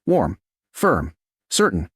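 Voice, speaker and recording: A man with a low, husky voice narrates a romance audiobook in short, suspenseful phrases. His pitch rises and falls dramatically, and the recording is close and dry. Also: wildly swooping pitch, takes it slowly, fairly bright tone, no echo.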